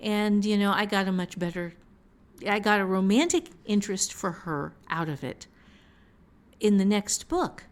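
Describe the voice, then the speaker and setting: Female co-host, novelist, conversational and emphatic, middle-pitched voice, prone to chuckling, relaxed shop talk. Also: measured pace. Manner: chuckles often while talking, emphatic repetition. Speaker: novelist and co-host discussing fiction writing. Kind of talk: casual conversational writing discussion.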